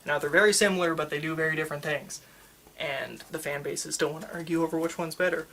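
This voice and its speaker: A person doing a casual ASMR roleplay as a shop worker, chatting in a rambling, halting, enthusiastic way. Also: mid-range voice, fairly even intonation, bright, forceful tone. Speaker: manga store worker in a roleplay. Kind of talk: ASMR roleplay, casual customer-service chat.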